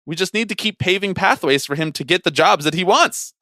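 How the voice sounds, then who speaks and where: A man's voice: high voice, lively intonation, a little fast, pushed and bright, no room sound. Wry man talking sports, dry close sound. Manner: wry, opinionated storytelling. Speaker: podcast co-host. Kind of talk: casual sports talk.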